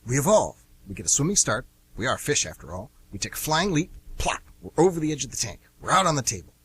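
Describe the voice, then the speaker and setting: An eager goldfish schemer, acted by a man in a comic radio play. The voice is husky and swings widely in pitch, with earnest, declamatory speeches about grand plans. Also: very animated, quick pace, fairly bright tone, heavily acted, low-quality recording. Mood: confident, scheming. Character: eager, scheming male pet goldfish dreaming of freedom.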